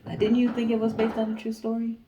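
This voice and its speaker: A woman's voice: fairly high pitch, monotone, quick pace, mellow, a little hiss or hum. American woman hosting a casual storytime show. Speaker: co-host of a storytelling guessing show. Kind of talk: casual storytelling and commentary to viewers.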